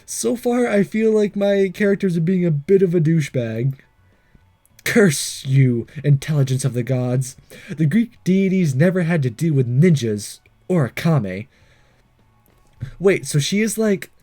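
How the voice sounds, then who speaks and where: Male let's-play host: animated, slightly high but soft-edged voice, casual and wry, thinking aloud while playing. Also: measured pace, faint music behind. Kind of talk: casual game commentary, reading text aloud.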